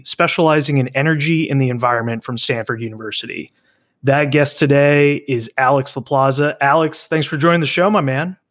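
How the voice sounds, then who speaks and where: A young man hosting a podcast, introducing his guest and chatting in an upbeat, casual way with plenty of fillers. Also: medium pitch. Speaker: podcast host.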